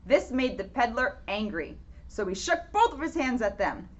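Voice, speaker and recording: A woman's voice: fairly high pitch, very animated, normal speed, lo-fi sound. A woman reading a children's storybook aloud with playful, dramatic emphasis and casual asides.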